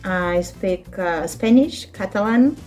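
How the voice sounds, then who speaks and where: A woman in her thirties from Ukraine, a native speaker of Russian and Ukrainian, talking in a casual interview with a Ukrainian accent, halting and searching for words, laughing often. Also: medium pitch, animated, darkish tone, faint music behind. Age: 30s. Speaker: interview guest, children's book translator.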